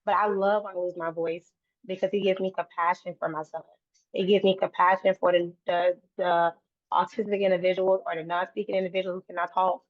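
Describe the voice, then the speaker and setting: A woman's voice: fairly low pitch, flat delivery, fairly quick, dry recording. A woman guest talking earnestly in a casual interview, restarting and repeating phrases as she goes. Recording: dry, tinny phone-like line.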